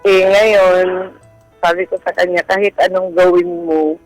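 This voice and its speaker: A woman's voice: fairly low pitch, little pitch movement, a little slow, no echo, low-quality recording. A woman with a Filipino accent telling a personal story as a guest, in a casual and hesitant style. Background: background music.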